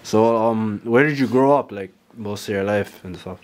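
Young male interviewer, low easy voice. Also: moderate pace. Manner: relaxed, many "like" fillers and "okay, okay" backchannels. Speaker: interviewer host. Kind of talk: casual interview questions. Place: small studio room, seated on a couch.

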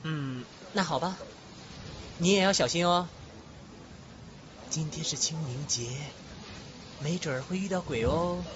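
A man's voice: a little high, unhurried, pauses often, highs cut off, background noise, natural voice. A man playing a kindly, helpful young person in an audio drama. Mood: friendly concern. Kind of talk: Chinese audio drama acting.

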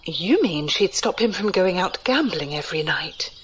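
A woman's voice: lowish voice, animated, normal speed, a little hiss or hum, close to an everyday voice. A woman playing a shrewd, unimpressed heroine in an audio drama, dry and skeptical. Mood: dry, resolute.

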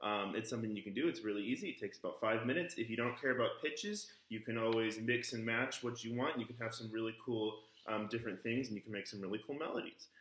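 A man's voice: fairly low pitch, fast talker, hardly any pauses. Chatty man in an echoey kitchen. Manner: relaxed, chatty, with frequent ums and uhs. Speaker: music teacher. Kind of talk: casual home music lesson. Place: home kitchen, indoors. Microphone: camera on the table in front of him. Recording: echoey kitchen with faint noise. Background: glasses clinking.

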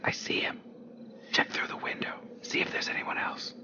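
Actor as a whispering, commanding raider. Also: male voice, moderate pace, dull audio, close to an everyday voice. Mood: tense, commanding. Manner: hushed, terse, commanding. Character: tense, foul-mouthed man leading a stealthy raid. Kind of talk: whispered video game acting.

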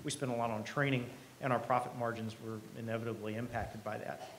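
A middle-aged man giving a conversational keynote speech at a podium microphone, earnest and emphatic, with deliberate pauses. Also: mid-range voice, steady tone, fairly bright tone, low-quality recording. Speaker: company president, keynote speaker. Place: event hall stage. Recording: live podium speech with clear background noise.

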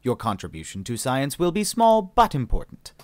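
A man reading an audiobook, voicing a calm, condescending male alien scientist with polite, mocking, unhurried speech. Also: mid-range voice, no echo, pitched above the performer's own voice. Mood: smug, condescending.

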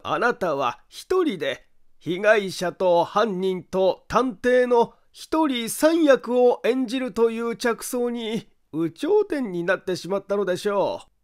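An eerie male detective, acted by a male reader, speaks in a high voice at a very slow pace. Each phrase is deliberate and insistent, in the manner of a dramatic mystery audiobook. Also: animated. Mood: accusing, deliberate. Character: eerie, slow, low-spoken male detective laying out a crime. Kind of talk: dramatic audiobook character acting.

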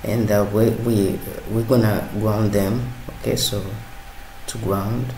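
A man narrating a hands-on electronics tutorial, halting and repeating words as he works. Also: on the low side, fairly even intonation, a little mellow. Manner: halting, hesitant, repeats words while working. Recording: roomy sound with faint background noise.

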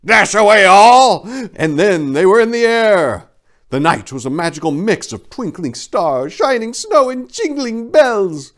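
A man's voice: high-pitched, very animated, measured pace. A man in his forties reading a story in a theatrical, mock-grand, hammy manner. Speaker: dramatic reader, channel host. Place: small indoor room lined with bookshelves. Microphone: lapel mic.

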